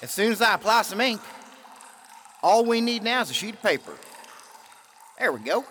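A folksy, harried small-time Old West crook played by a man in a western radio drama. His voice sits fairly high, sharp and lively, with a casual, slightly put-upon delivery. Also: normal speed, a little hiss or hum, exaggerated voice. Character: folksy, harried male outlaw underling, a tinkering counterfeiter.

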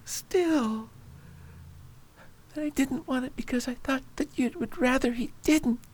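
A woman's voice: sits high, measured pace, a little mellow. A woman playing a sickly, frightened boy lord in a radio drama, pleading and anxious, sometimes whispering. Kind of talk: amateur radio drama acting.

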